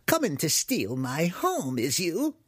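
Shrill, crazed old wizard. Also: male voice, wildly swooping pitch, takes it slowly, husky, no echo, clean studio sound, full character voice. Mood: shrill alarm. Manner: shrieking and muttering, broken quaint grammar. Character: crazed old human wizard, cranky, paranoid, hysterical. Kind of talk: audiobook fantasy character voice.

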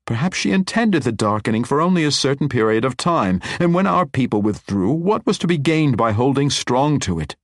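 A man voicing an imperious female dark elf matriarch, sharp and demanding. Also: mid-range voice, big pitch swings, unhurried, slightly rough, no echo, clean studio sound, voice pushed up. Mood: commanding. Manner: sharp, demanding, raised intensity.

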